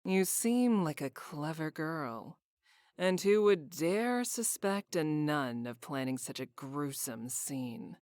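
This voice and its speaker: A man's voice: on the high side, expressive, slow, a little mellow, no room sound, clean studio sound. A man voicing a suspicious, coldly threatening interrogator in a fantasy visual novel. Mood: cold menace.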